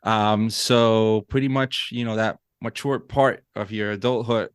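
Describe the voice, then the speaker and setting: A man's voice: on the low side, steady tone, unhurried, smooth, dry recording, studio quality. A man in his thirties from Puerto Rico with a Puerto Rican accent, a native Spanish speaker, chatting earnestly as a podcast guest with many restarts. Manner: earnest, frequent restarts and fillers. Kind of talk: casual interview chat.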